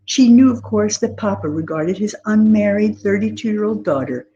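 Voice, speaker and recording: A woman author giving an expressive literary reading of scripted narration from a novel. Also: medium pitch, normal speed, lo-fi sound. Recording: clear background noise.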